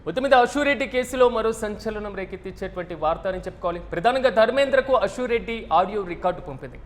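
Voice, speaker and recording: A man's voice: sits high, animated, fast talker. A man anchoring live news with commentary in an emphatic, formal manner that repeats phrases for stress.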